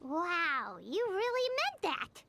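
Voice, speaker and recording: A woman voicing a tiny, excitable fairy companion in a video game, with a high, squeaky, childlike voice. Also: slow, slight background noise, full character voice. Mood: amazed. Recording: game audio under music.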